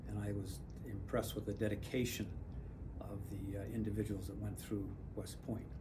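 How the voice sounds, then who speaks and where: Older man, retired pilot type, low and flat delivery, noticeable background hiss. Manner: measured, reflective, pauses with fillers. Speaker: retired airline captain. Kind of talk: community testimonial.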